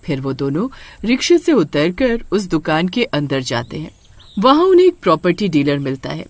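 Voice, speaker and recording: A woman's voice: low-pitched, very animated, normal speed, dry recording. A woman narrating a scripted horror story.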